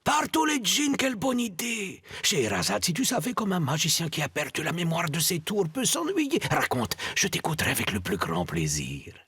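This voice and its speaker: A man's voice: on the high side, wildly swooping pitch, moderate pace, hardly any pauses, fairly bright tone, breathy edge, heavily acted. A man playing a grumpy, theatrical old magician in a French cartoon, pompous and melodramatic. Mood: flattered, delighted. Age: older. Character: grumpy, theatrical old male magician who lost his powers. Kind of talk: French cartoon dub acting.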